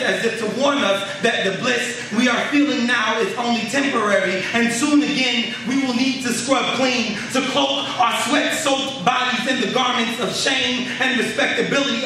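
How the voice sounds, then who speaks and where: A man's high-pitched voice rings through a very reverberant room, recorded at a distance from the mic. He performs spoken word poetry with impassioned, declamatory force, shaping lines as urgent rhetorical questions. Clear noise sits under the voice. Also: sharp tone. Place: live poetry reading in an echoey room.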